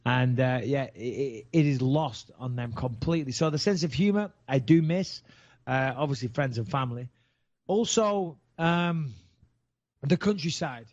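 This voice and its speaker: A man's voice: medium pitch, a little slow, darkish tone. A middle-aged man with a northern English accent, hosting a podcast in casual, sarcastic, swearing chat. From England. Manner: sarcastic, joking, swears freely. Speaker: podcast host. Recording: dry, dull studio podcast sound.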